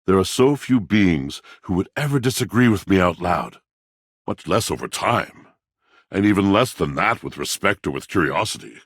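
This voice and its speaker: An actor playing a grandiloquent, melancholy washing machine in a video game, with florid, courtly, old-fashioned diction and a gravelly voice. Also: male voice, expressive, normal speed, no room sound, clean studio sound. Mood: earnest, tender. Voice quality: gravelly, deep. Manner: theatrical, florid, formal old-fashioned diction, savoring words. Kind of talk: theatrical video game character acting.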